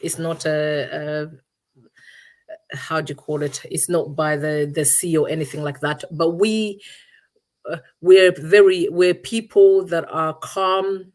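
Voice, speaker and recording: A woman's voice: deep voice, fairly even intonation, takes it slowly, darkish tone. A middle-aged woman from Uganda, a guest in an interview, answering thoughtfully and searching for her words with frequent restarts.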